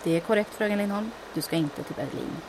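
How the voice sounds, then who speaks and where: An actress voices a daring woman pilot, confident and dryly humorous. Her voice sits mid-range, and a constant engine rumble sits under the lines. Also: natural voice. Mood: cool. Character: daring woman pilot, speed-loving adventurer. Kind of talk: audio drama acting.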